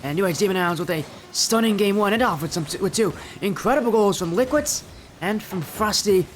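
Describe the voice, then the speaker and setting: A young man doing solo play-by-play commentary for an esports match, sounding a little stuffy and yelling in high bursts at big plays. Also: animated, fairly quick, soft background music. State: slightly stuffy from allergies. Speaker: esports caster.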